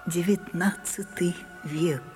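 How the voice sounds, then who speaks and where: Slow, grand, wistful ageing actress. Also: female voice, on the low side, fairly even intonation, a little mellow. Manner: measured, dramatic pauses, falls to a breathy whisper. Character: Sarah Bernhardt, grand wistful ageing actress, theatrical and nostalgic. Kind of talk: slow theatrical radio drama acting. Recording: 1980s radio play with faint music.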